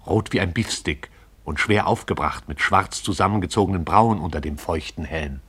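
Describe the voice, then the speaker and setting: A man giving brisk, vivid scripted narration for a radio play. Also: deep voice, a bit bright, slightly rough.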